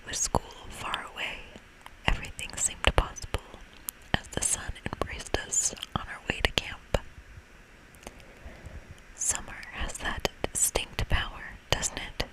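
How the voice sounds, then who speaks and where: A host whispering softly and chattily through an ASMR video game playthrough, speaking without hurry. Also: unhurried, low-quality recording.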